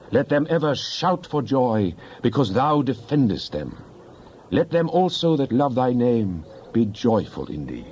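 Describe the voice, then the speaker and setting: A fervent psalmist acted by a man prays aloud with solemn, declamatory passion. His voice is mid-pitched and dark, soft-edged rather than sharp. The recording is a little muffled, with faint noise behind this dramatized scripture reading. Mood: exalting. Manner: solemn, impassioned, declamatory, archaic diction. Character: fervent pleading male psalmist crying out to God.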